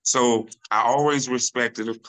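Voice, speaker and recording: A middle-aged man with a deep voice, a comedian guest talking casually and loosely in an interview over a video call, full of "you know" and restarts. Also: a little slow, low-quality recording. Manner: relaxed storyteller, many restarts and "you know".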